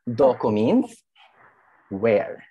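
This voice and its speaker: A woman from the Philippines coaches a beginner through Japanese greetings over a video call. Her voice is slightly husky and dry, and her pitch swings lively as she patiently repeats each word and praises progress. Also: dry recording, dull audio. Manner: patient, encouraging, repeats phrases slowly. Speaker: Japanese language teacher. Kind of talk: mock teaching interview over video call.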